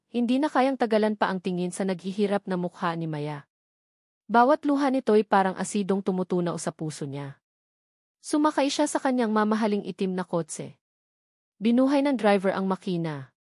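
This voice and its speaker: A woman's voice: mid-range voice, animated, normal speed, a little mellow, dry recording. Woman narrating a dramatic story, dry sound. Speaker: narrator of love stories.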